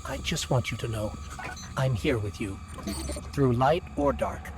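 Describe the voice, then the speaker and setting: A man's voice: medium pitch, sing-song, a little slow, gravelly, music in the background, low-quality recording. A man playing a loyal, devoted companion in a video game, speaking earnestly and tenderly. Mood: tender, sincere.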